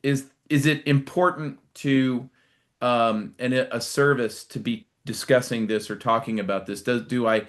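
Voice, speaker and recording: A middle-aged or older American man speaking as a guest commentator, explaining things conversationally in a measured way with emphatic stresses. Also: mid-range voice, fairly even intonation, a bit bright. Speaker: guest commentator, retired military. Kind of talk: live political commentary, conversational.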